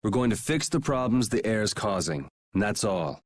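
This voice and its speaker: A man's voice: lowish voice, big pitch swings, normal speed. An actor playing a self-assured young male adventurer in a video game, talking in a casual, wry, plain-spoken way. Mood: wry, resolute. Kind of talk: video game cutscene acting.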